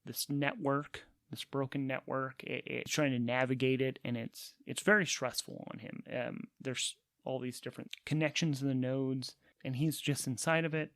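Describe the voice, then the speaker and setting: A man's voice: mid-range voice, fairly even intonation, moderate pace, few pauses, a bit bright, dry recording. A man narrating a solo tabletop game casually, thinking aloud as he switches between rules and story.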